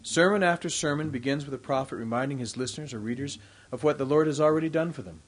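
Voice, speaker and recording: A man delivering a formal, prepared devotional address at a university, reading from his text in a measured way. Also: a little high.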